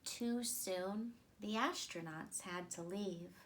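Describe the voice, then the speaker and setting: A woman in her thirties reading a children's picture book aloud with deliberate, expressive phrasing. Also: medium pitch, a little hiss or hum. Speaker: storytime reader.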